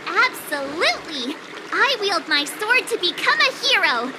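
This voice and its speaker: A woman's voice: very high voice, big pitch swings, a little slow, very noisy, exaggerated voice. A woman voicing a bubbly, eager teenage girl sword apprentice in a video game, with a perky, earnest, theatrical delivery. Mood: fired up.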